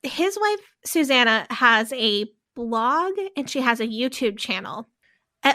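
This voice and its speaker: Chatty woman podcast co-host, higher voice. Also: sharp tone. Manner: storytelling, with frequent fillers and restarts. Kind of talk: casual podcast chat.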